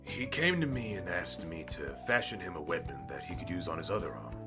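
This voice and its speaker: A man acting as a gruff, helpful older blacksmith in a fantasy audio play. His voice is very low and swings expressively, telling things haltingly over clear background music. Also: sing-song. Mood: earnest, explaining.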